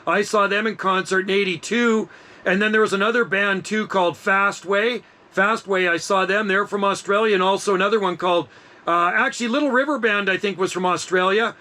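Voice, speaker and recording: A man's voice: high-pitched, fairly even intonation, brisk, bright, forceful tone. A middle-aged man chatting casually as an amateur radio operator, enthusiastic and slangy. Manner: enthusiastic, laid-back slangy talk.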